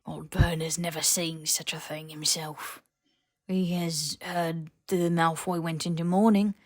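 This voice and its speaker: A woman voicing a disdainful old male house elf servant in an audiobook, talking slowly and servilely with odd grammar. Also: low-pitched, steady tone, no room sound, clean studio sound. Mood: grudging, solemn. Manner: slow, servile third-person speech with odd grammar.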